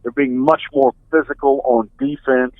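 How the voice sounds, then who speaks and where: A middle-aged man speaks over a slightly muffled, dry phone connection. He has a mid-pitched voice and talks like a radio sports announcer being interviewed, conversational and analytical. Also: steady tone, normal speed, dry recording. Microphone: telephone. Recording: band-limited phone line.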